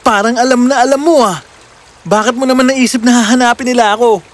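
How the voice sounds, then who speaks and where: A man's voice: very high voice, steady tone, quick pace, pitched above the performer's own voice. A man voicing a kind, brave young prince in a children's fairy tale, earnest and courteous. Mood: friendly. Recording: music clearly behind the voice.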